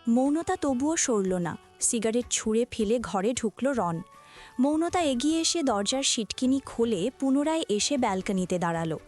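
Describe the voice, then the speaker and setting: A woman's voice: a little high, lively intonation, moderate pace, a little mellow, faint music behind. A woman reading scripted narration for a romantic family audio story.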